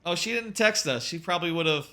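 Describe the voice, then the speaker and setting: A man's voice: a little high, lively intonation, brisk, pushed and bright. A man from the United States giving casual, sweary video game commentary as a streamer, often repeating himself. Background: game music.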